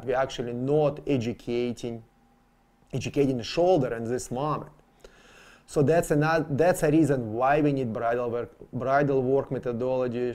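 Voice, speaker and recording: A man with a soft-edged, mellow voice teaches horse training in English that is not his first language. His grammar is non-native, and he repeats important terms for emphasis as he explains his method step by step. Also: mid-range voice. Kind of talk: instructional explanation.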